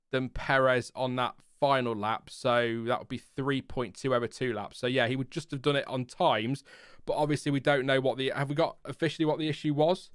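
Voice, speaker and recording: A man's voice: medium pitch, smooth, no echo, studio quality. A British man hosting a casual race reaction, thinking aloud and rambling with many fillers. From Britain. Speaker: host.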